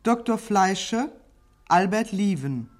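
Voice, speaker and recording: A woman reading a formal, scripted cast announcement as a radio announcer in a measured manner. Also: mid-range voice, animated, faint music behind.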